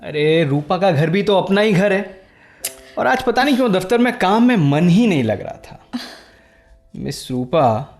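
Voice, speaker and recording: A man's voice: high voice, big pitch swings, a little slow, a little mellow, soft background music, heavily acted. An actor playing a vain, teasing, lovesick young man in a radio play, flamboyant and self-satisfied, dropping in English phrases. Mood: breezy, teasing. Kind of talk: Hindi radio play acting.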